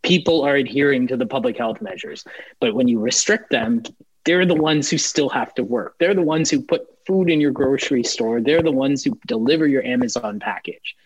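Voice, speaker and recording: A man's voice: medium pitch, moderate pace, husky, no room sound. A man speaking as a panelist over a video call, explaining carefully and building his point with examples. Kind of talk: panel discussion. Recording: dull, lo-fi, dry call audio.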